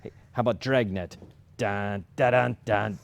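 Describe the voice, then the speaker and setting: Jokey, folksy 1950s husband played by an actor. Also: male voice, lowish voice, fairly even intonation, unhurried, creaky. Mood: jovial, coaxing. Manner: joking, folksy. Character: jokey, easygoing 1950s working husband, a bit insecure. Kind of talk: staged play reading.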